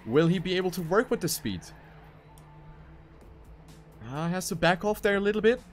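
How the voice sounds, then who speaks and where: A man's voice: high voice, expressive, normal speed, faint music behind. A man commentating live on a racing game, calling the action play by play and repeating phrases for emphasis. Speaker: esports race commentator.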